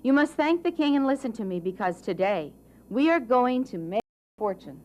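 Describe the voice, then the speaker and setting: A bossy, eager talking tomcat from a storybook, as a woman storyteller puts him on. The voice sits high and swings widely in pitch. He talks with urgency, exclaiming and giving orders like a born schemer. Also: big pitch swings, moderate pace, a little mellow, highs cut off. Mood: scheming, instructing. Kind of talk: children's fairy-tale storytelling.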